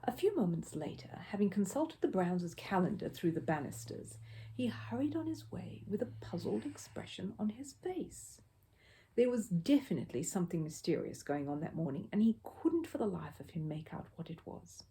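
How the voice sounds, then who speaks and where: Animated, mellow woman reading a children's story. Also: lowish voice, normal speed, slight background noise. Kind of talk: expressive children's audiobook narration.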